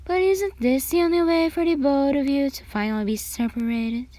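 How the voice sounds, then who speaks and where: A woman's voice: sits high, normal speed, warm tone, dry recording, voice pushed up, close to an everyday voice. A woman voicing a cold, sly assassin mother who scolds haughtily, in an anime fan fiction reading. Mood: mock regret.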